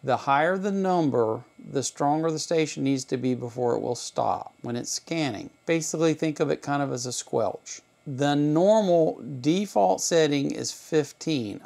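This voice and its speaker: A middle-aged man with a gravelly voice, giving a casual, unhurried demonstration in plain words. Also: mid-range voice, a little mellow. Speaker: electronics channel host. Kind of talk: casual product demonstration, explaining. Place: small home electronics workroom, indoors.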